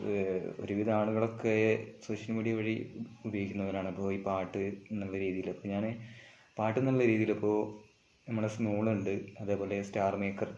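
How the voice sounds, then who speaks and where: A man. He moves step by step in short, halting phrases, like an amateur vlogger. The recording is somewhat dull and lo-fi. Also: fairly low pitch, dark tone, a little hiss or hum. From Kerala, India. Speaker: amateur vlogger giving an app tutorial. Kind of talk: informal step-by-step tutorial narration.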